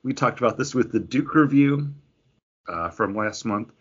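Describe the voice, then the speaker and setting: A man with an average-pitched voice talks as a podcast host reviewing things he likes. He is informal and emphatic, with open enthusiasm in a casual chat style. The audio is a bit dull and lo-fi. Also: animated, moderate pace, fairly bright tone, husky.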